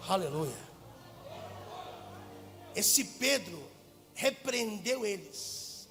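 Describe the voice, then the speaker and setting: High-voiced fervent preacher man, hall echo. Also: very animated, extremely slow. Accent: Brazilian Portuguese. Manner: fervent, swings from loud shouts to low asides. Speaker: pastor. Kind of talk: preaching a sermon. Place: large church hall. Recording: hall reverb with faint music.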